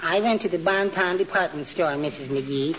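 An actor playing a mild, timid, henpecked husband with polite, deferential delivery in a 1940s radio comedy. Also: male voice, on the high side, normal speed, a crowd in the background, close to an everyday voice. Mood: sheepish, polite. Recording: dull 1940s radio recording, audience behind.

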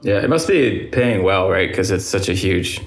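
A man from Europe with a fairly low voice tells a childhood story in relaxed conversation. His voice sounds roomy, with clear echo around it. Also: normal speed. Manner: relaxed storytelling, laughs easily. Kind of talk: casual conversation, anecdote.

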